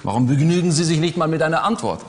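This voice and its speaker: An actor playing a pompous male official in a cabaret sketch, who lectures and dodges every question with smug calm. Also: on the high side, fast talker, a little hiss or hum, close to an everyday voice. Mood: smug, lecturing. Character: evasive pompous male official, middle-aged.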